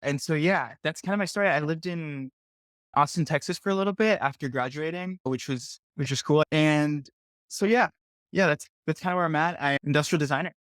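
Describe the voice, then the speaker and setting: A man's voice: a little high, a little fast, fairly bright tone, no echo, studio quality. Rambling young man, lots of "like". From Colombia. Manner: rambling, heavy use of "like". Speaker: guest, industrial designer. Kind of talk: casual video chat.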